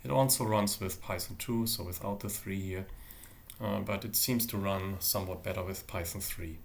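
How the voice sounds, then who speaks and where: Low-pitched male lecturer with a creaky voice. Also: normal speed. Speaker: university lecturer. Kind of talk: lecture with live software demonstration.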